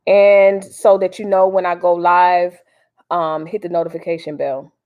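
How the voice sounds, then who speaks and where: A woman's voice: fairly low pitch, flat delivery, unhurried, narrow, tinny audio. An American woman hosting a casual livestream, rambling informally in folksy slang as she answers viewers. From Miami, United States. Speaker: livestream host. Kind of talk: casual live chat with commentary.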